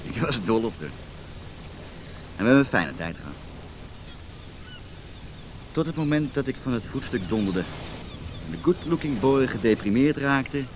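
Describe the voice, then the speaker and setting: A man's voice: medium pitch, animated, normal speed, pauses often, a little hiss or hum, natural voice. Actor as disillusioned man in his thirties. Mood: rueful. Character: John, disillusioned unhappily married man of thirty-two, self-pitying. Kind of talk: naturalistic Dutch radio drama acting.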